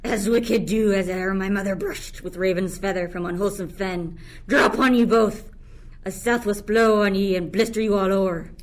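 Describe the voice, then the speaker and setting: A woman's voice: mid-range voice, fairly even intonation, normal speed, close to an everyday voice. A young woman playing a resentful enslaved island monster in a Shakespearean radio play, declaiming in verse. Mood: bitter, cursing. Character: Caliban, bitter cursing enslaved island monster, witch's offspring.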